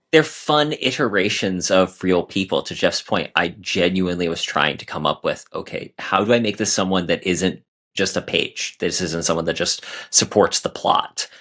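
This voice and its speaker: A man's voice: low-pitched, a bit bright. A man speaking casually as an author guest in a podcast interview.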